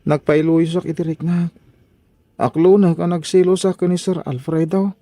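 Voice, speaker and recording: Guy acting rejected filipino suitor in ilocano drama, mellow voice, kinda pleading and sorry. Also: on the high side, fairly even intonation, moderate pace, no echo, natural voice. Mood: sheepish pleading. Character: apologetic lovesick young man, rejected suitor.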